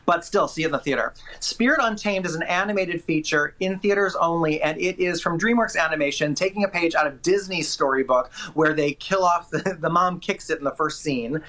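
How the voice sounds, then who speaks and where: A man's voice: a little high, sharp tone. A man working as a film critic, giving chatty, enthusiastic and opinionated movie reviews with emphatic delivery.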